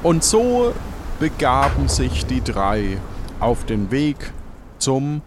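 A man playing along in an improvised adventure audio play in a casual, deliberate way. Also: mid-range voice, huge pitch swings.

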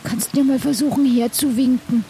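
A woman's voice: high voice, steady tone, brisk, warm tone, a little hiss or hum. A woman playing in an improvised role-play, talking casually in character.

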